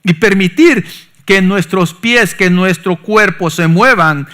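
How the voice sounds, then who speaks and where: A middle-aged pastor, preaching a sermon that swings from forceful emphasis to soft, low asides. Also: male voice, takes it slowly. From Honduras. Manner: preaching with sudden soft drops and rising emphasis.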